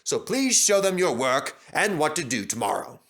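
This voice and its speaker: A man's voice: fairly high pitch, sing-song, moderate pace, a bit bright. A man voicing a stern railway director in a storybook, speaking formally and with authority. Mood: authoritative. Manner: authoritative, formal, bellowing when crossed.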